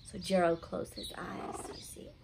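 A woman in her thirties hosts story time for little children at home. She reads slowly and talks to her listeners in simple asides and questions. There is clear background noise in the room. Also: lowish voice, fairly even intonation. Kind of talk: read-aloud to young children with chatty asides. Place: home living room, indoors.

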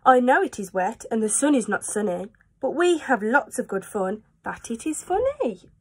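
A woman's voice: on the high side, sing-song, moderate pace, slightly rough. A showy trickster cat from a children's storybook, played by a woman, in a raised voice. It is playful and sure of itself, boasting in bouncy rhyme. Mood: inviting. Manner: showy, exclaiming, rhythmic rhyme. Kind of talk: children's storybook read-aloud.

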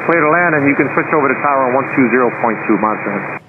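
A man working as an air traffic controller, speaking in halting phrases over a radio. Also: medium pitch, animated. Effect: radio transmission. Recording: noisy, tinny tower radio.

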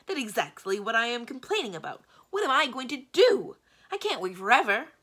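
Lady doing a vain cranky old wizard guy, shrieky and over the top, sharp edge, storybook drama. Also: on the high side, very animated, a little fast, voice pushed up. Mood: cross, exasperated. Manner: shrieking, indignant, overblown. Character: vain melodramatic male wizard, aging, hot-tempered, theatrical.

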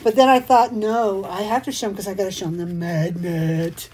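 An older woman with a slightly hoarse, muffled voice, chatting in a folksy, rambling way as she hosts a craft live stream. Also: mid-range voice, steady tone, normal speed, a little mellow, a little hiss or hum.

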